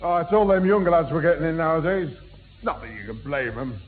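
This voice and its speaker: A man's voice: a little high, a little fast, phone-quality sound, faint music behind, natural voice. A man playing a genial beer-loving pub regular with a Northern English accent, pompously mangling long words in a 1960s radio sitcom. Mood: contented. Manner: jolly, pompous malapropisms. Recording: thin, noisy old radio broadcast.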